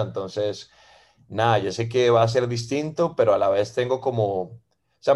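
A Venezuelan-born man in his thirties with a Costa Rican accent, chatting casually as a podcast guest and filling pauses with "ma" and "eh". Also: lowish voice. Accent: Costa Rican Spanish. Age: 30s. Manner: relaxed, fills with "ma" and "eh". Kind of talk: casual interview chat.

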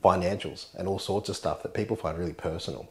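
A man in his thirties with a very low voice and an Australian accent. He talks casually and easily, like a guest in a relaxed interview. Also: quick pace. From Australia, born in the Blue Mountains, grew up on the mid-north coast of New South Wales. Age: 30s. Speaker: interview guest, insurance adviser.

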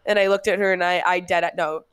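A woman's voice: lowish voice, fairly even intonation, quick pace, smooth, no room sound. A young woman co-hosting a podcast in casual chat, blunt and swearing freely as she tells stories. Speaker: podcast co-host.

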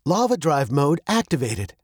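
A man's voice: fairly high pitch, big pitch swings, normal speed, breathy edge, dry recording, studio quality, pitched above the performer's own voice. A man voicing a car's computer, droning out alerts in a storybook. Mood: flat warning. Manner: droning, announcing. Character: droning car computer issuing calm danger warnings.

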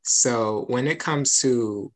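Steady man giving measured podcast advice. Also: mid-range voice. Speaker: podcast co-host. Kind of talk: casual podcast chat.

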